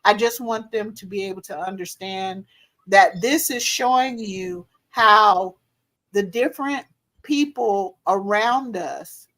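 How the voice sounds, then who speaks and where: A woman's voice: mid-range voice, slow pace, fairly bright tone, low-quality recording. A woman hosting a livestream, talking casually with slang and poking fun at herself. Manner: casual, slangy, self-mocking.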